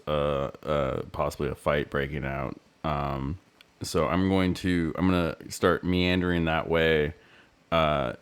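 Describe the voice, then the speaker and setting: A man's voice: rumbling low, clean tone. A man taking his turn as a player in a tabletop game, rambling with many fillers. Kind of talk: in-character tabletop play.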